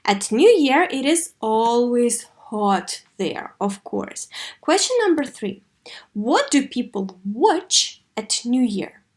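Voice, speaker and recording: Female English teacher for a kids' video lesson, slightly high pitch, instructive and expressive. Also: takes it slowly. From Russia. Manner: didactic, expressive model reading with clear questions. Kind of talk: video lesson for third graders.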